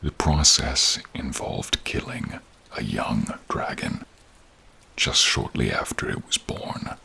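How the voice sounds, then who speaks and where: A man voicing a protective, caring male dragon with a deep, gravelly, breathy voice, speaking slowly, solemnly and formally in a fantasy audio roleplay. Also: animated, pushed and bright, full character voice. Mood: grave, troubled. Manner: slow, solemn, formal, dramatic pauses. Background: rain and cave ambience.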